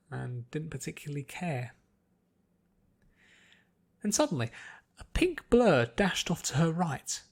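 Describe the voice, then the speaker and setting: A man reading a story aloud as an expressive scripted narration. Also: medium pitch. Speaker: podfic narrator.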